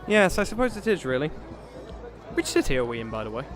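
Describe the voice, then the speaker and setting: An actor with a British accent playing a pompous, oblivious gentleman knight in a comedic fantasy audio drama. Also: a little high, very animated, quick pace, soft background music, some chatter behind. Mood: eager, self-satisfied. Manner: pompous, theatrical, chatty.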